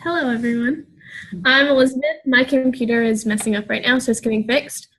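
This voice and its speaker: A woman's voice: a little high, a little fast, slightly rough, poor audio. Young South Carolina woman, plain intro. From South Carolina, USA. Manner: plain, even, many ums. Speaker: graduate student. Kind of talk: class self-introduction.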